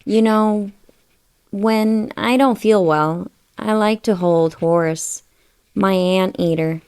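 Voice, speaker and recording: A woman's voice: medium pitch, slow, a little mellow, smooth. A woman reading a children's storybook aloud, putting questions to young listeners. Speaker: children's book read-aloud narrator. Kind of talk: scripted storybook read-aloud.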